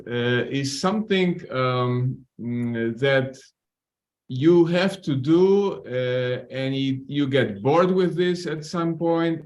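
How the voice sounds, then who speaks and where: A man whose native language is Polish, a surgeon commenting at a webinar, polite and hesitant, with many pauses and restarts. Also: mid-range voice. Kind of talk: webinar discussion.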